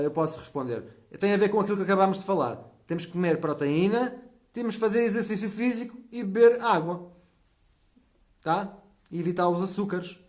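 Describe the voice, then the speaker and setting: A man in his forties with a European Portuguese accent, talking easily in a slightly high voice. He hosts a natural health live stream and chats through viewer comments. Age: 40s. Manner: chatty, often checks in with a quick "tá?". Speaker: natural health channel host.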